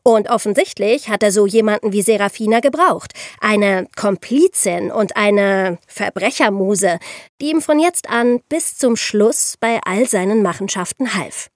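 A woman's voice: mid-range voice, measured pace, dry recording, studio quality. A woman co-hosting a podcast, telling history in a conversational, explanatory way with informal asides. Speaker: podcast co-host.

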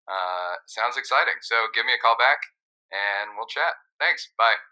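A man leaving a casual voicemail in a hesitant, halting manner. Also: medium pitch, expressive, sharp tone, poor audio. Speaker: caller leaving a message.

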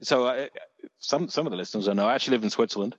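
A man's voice: medium pitch, rapid-fire, breathy edge, no echo, in a large hall, highs cut off. A man with a Dorset accent telling stories in a casual chat, rambling with many restarts and the odd swear word. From England.

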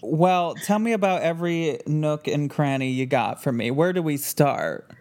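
A man's voice: a little high, normal speed, a little mellow. A man hosting a casual phone-in podcast, talking informally and reacting with exclamations. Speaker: podcast host.

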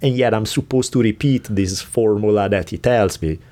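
A man's voice: fairly low pitch, normal speed, warm tone. Italian-accented man telling a story. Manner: laughs often while telling a story. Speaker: podcast host. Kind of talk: casual storytelling chat.

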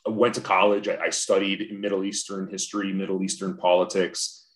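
A man in his forties with a fairly low voice, talking in a reflective, earnest way as an interview guest. He is from Southern California, and he often stops and restarts his sentences, repeating words as he gathers his thoughts. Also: little pitch movement, brisk. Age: 40s. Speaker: podcast guest. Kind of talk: casual interview conversation.

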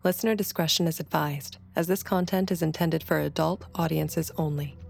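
A woman reading a show's sponsor message and content warning in a smooth, sultry way. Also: low voice, steady tone, normal speed, a little mellow. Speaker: show announcer. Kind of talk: scripted announcement.

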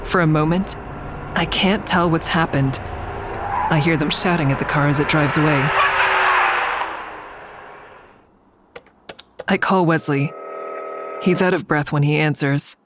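An actor playing an anxious narrator who recounts a tense ordeal in measured first person, in an audio drama. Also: mid-range voice, noisy background, close to an everyday voice.

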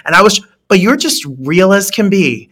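A woman's voice: mid-range voice, big pitch swings, normal speed, a bit bright, husky. A woman in her late thirties hosting an interview, gushing with praise and often stumbling and restarting her sentences. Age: 30s. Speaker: interview host. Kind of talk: casual interview chat.

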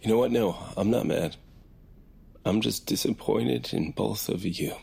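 An actor playing a petulant young man in an audio comedy drama, husky and whiny, easily outraged. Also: on the low side, a little slow, warm tone, exaggerated voice. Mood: hurt, sulky. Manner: whiny, indignant, voice leaping up.

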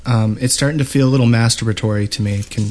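Medium-pitched man chatting on a podcast. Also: steady tone, fast, a little mellow. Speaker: podcast co-host. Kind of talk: casual podcast chat.

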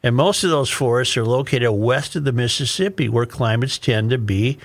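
Male American talk host, mid-pitched, emphatic and opinionated, delivering read-aloud commentary. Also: normal speed. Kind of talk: reading an article aloud with commentary.

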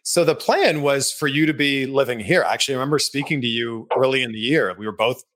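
Canadian guy asking questions, bright punchy voice, friendly and curious. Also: mid-range voice, fast. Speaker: interviewer, TV host. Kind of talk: casual video interview.

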